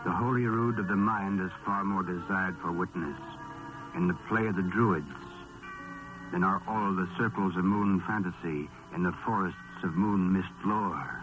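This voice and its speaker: A man's voice: sits low, normal speed, over music, rough recording. A man narrating a 1950s radio drama in a solemn, florid, theatrical manner, on a vintage broadcast recording.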